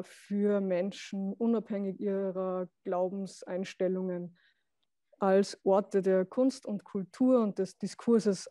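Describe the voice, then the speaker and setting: Young woman, unhurried pace, mellow tone, hesitant online presenter, close dry sound. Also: medium pitch, dry recording. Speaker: presenter of an art and culture project. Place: indoor room on a video call. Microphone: video call microphone.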